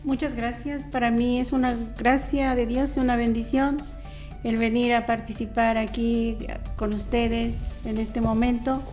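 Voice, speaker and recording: An older woman with a Mexican accent speaks as a devout interview guest. Her voice is fairly high and very even in pitch. She moves in halting phrases over soft music. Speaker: guest, cloistered nun. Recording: music bed under the voice.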